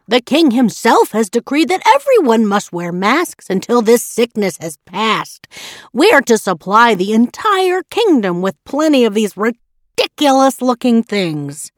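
A woman's voice: fairly high pitch, big pitch swings, a little slow, no echo. Cast a woman reader as a pompous, greedy dressmaker man who barks orders in a raised, huffy tone. This is a storybook figure, fretful underneath the bluster, heard on a dry recording. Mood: bossy. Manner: huffy, commanding.

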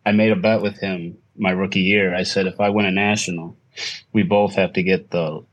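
A man's voice: deep voice, level tone, moderate pace, highs cut off. A man speaking casually as a podcast guest, a pro player answering questions in an interview chat.

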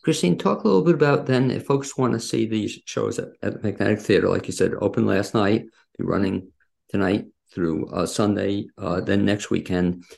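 A man's voice: on the low side, moderate pace, creaky, a little mellow. A man hosting a casual interview, rambling a little as he circles toward his questions. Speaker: interview host.

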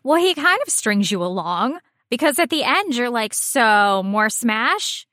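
A woman's voice: fairly high pitch, very animated, measured pace, sharp tone, no echo, clean studio sound. A woman co-hosting a podcast, chatting casually about game characters in a wry, blunt, sweary manner. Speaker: podcast co-host.

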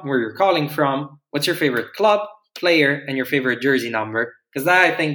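A man's voice: medium pitch, a bit bright, low-quality recording. A man hosting a casual football podcast, introducing guests in a loose, rambling way. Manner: loose, rambling, friendly questions. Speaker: podcast host.

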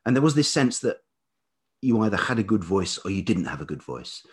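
A man's voice: lowish voice, big pitch swings, fairly quick, slightly rough. A middle-aged English man with a velvety voice, talking reflectively and hesitantly as an interview guest, searching for his words. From England. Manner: hesitant, thoughtful, repeats words while searching. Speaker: podcast guest, writer and musician.

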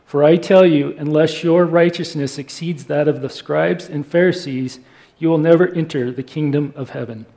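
A man reading scripture aloud in a steady, measured way. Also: on the high side, creaky. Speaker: volunteer scripture reader.

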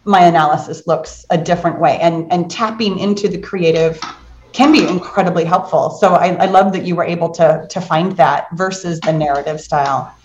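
A middle-aged woman hosting a book club as a coach, chatting casually in an animated, encouraging and affirming way. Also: fairly low pitch, moderate pace, dull audio, a little hiss or hum. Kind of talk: casual chat in a live online book club. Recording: roomy, echoing sound.

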